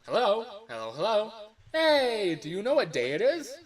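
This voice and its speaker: A man rehearsing game voice lines as a chirpy phone guy leaving a recorded work message, with a loud greeting. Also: sits high, wildly swooping pitch, normal speed, pitched above the performer's own voice, exaggerated voice. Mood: upbeat, announcing.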